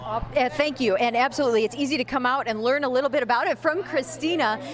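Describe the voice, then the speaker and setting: A woman with a high voice reports live for television. She speaks in an on-location broadcast interview style, as a weather reporter. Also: fast, a crowd in the background.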